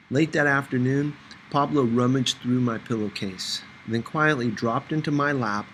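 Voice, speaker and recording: A man's voice: medium pitch, a bit bright, rough recording. Man narrating a novel, faint background noise. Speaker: audiobook reader. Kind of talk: scripted first-person novel narration.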